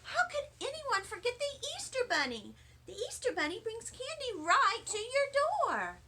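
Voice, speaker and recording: A woman's voice: very high-pitched, huge pitch swings, unhurried, sharp tone, pitched above the performer's own voice, exaggerated voice. A woman voicing a bossy, fussy first-grade girl in a storybook, huffy and self-important. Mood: grouchy.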